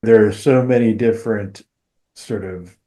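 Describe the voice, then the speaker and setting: A man with a fairly low voice talks as a podcast co-host. He builds long, measured sentences with slightly formal wording, in a conversational discussion style. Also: fairly even intonation, warm tone. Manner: wordy, measured, formal phrasing.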